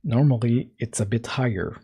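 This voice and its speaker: A man hosting a game-dev livestream, hoarse and coughing while recovering, talking through his code casually with many fillers, close to the mic at night. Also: dark tone. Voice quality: husky, deeper than usual. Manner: slow, thinking aloud with fillers. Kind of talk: casual coding livestream chat. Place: home room, at night. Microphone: close mic right in front.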